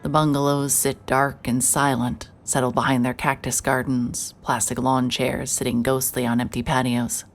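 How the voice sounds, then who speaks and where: A woman's voice: rumbling low, level tone, normal speed, hardly any pauses, soft background music. A woman acting as a hard-hearted, wry and nervy private investigator in a cinematic audio drama, muttering to herself as she works. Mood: brooding, wry. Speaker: lead actor and narrator of an audio drama. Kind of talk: cinematic audio drama, first-person noir narration and case dictation.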